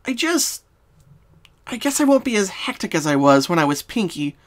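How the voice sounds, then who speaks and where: A woman voicing a sorrowful young female cartoon pony in a fan story, speaking softly and solemnly with hesitations. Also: medium pitch, sing-song, pitched above the performer's own voice, natural voice. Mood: pained, earnest. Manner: soft, solemn, halting. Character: sad young female pony confessing her hidden identity.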